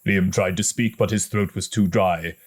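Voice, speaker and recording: A man reading a story aloud as an audiobook narrator, in a dramatic, expressive storytelling manner. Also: sits low, moderate pace, breathy edge. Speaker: audiobook reader.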